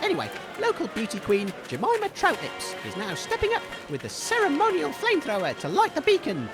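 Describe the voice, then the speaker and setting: A man acts as a chipper, excitable British radio host in a comedy drama. His voice is very high and swoops all over as he commentates earnestly and gently chides. Music plays clearly underneath. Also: fairly quick, exaggerated voice. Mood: cheery commentary. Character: cheerful naive male radio host, gently chiding his co-host. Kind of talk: comedy audio drama, mock live event commentary.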